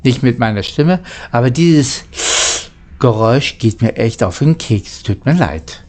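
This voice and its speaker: Man, amateur narrator, unhurried, soft-edged mellow tone, halting delivery with repeated words. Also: medium pitch, expressive. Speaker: narrator, channel host. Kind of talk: amateur storybook reading, casual channel outro.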